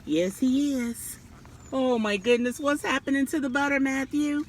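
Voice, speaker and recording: Lady reading a kids book out loud, high lively voice, asks the kids stuff, bit of background noise. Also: unhurried. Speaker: children's read-aloud storyteller. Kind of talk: animated storybook reading with questions to children.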